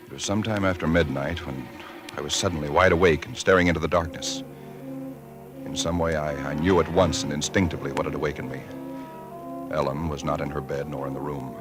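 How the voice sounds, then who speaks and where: An actor playing an uneasy young husband in an old radio suspense drama, speaking gravely and deliberately. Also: male voice, very deep voice, fairly even intonation, music in the background. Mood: haunted, grave. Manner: grave, deliberate, haunted, halting in dialogue. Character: uneasy young husband recounting a supernatural horror. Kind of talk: 1940s radio suspense acting, first-person narration.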